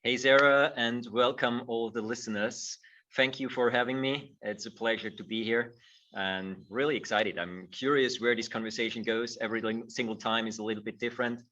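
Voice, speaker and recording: A man's voice: medium pitch, fairly even intonation, moderate pace, pushed and bright, recorded from a distance, muffled recording. A middle-aged man from Austria, a guest in a conversational interview who speaks thoughtfully and repeats words as he starts his phrases. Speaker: guest, movement teacher.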